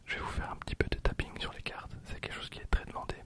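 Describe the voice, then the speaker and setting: A man in his twenties whispering for an ASMR video, soft and hesitant with frequent fillers, speaking close to a recorder. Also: very fast. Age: 20s. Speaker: ASMR creator. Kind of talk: whispered ASMR card opening. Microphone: handheld recorder behind the camera.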